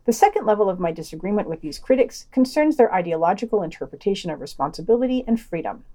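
A woman's voice: mid-range voice, big pitch swings, fast talker, dark tone. A woman hosting a podcast, reading scripted commentary and quotations with wry, dry asides. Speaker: podcast host.

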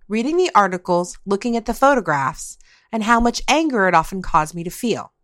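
A woman's voice: medium pitch, a bit bright, dry recording. A woman hosting a podcast in a conversational style, introducing subjects and putting questions to others. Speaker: podcast host.

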